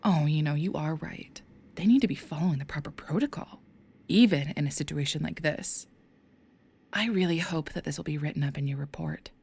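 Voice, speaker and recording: A seductive demon in a woman's body, acted by a woman for an audio roleplay. The voice is low and creaky, slipping into breathy whispers as it mocks and teases in drawn-out phrases. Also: darkish tone, exaggerated voice. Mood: mock agreement. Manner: mocking, teasing, drawn-out phrasing.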